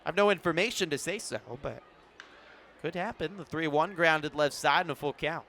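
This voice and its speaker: An American man with a fairly high voice works as a play-by-play announcer. His delivery is measured and can climb into excited calls. Faint outdoor stadium noise sits behind the broadcast. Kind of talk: sports radio and TV play-by-play commentary.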